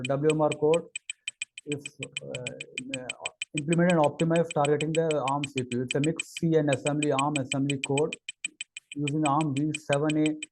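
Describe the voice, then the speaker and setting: A man walking through a technical product demo, talking in a halting way with many fillers and restarts. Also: a little high, noisy background. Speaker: engineer giving a product demo. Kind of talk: screen-recorded technical walkthrough.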